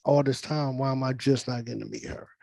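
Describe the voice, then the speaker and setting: A man's voice: mid-range voice, steady tone, fairly quick, creaky, darkish tone, no room sound. American man explaining things, dry close sound. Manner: conversational, often checks with "you know what I'm saying". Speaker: scriptwriter leading a virtual table read. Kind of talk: casual discussion, explaining a script. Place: indoors on a video call.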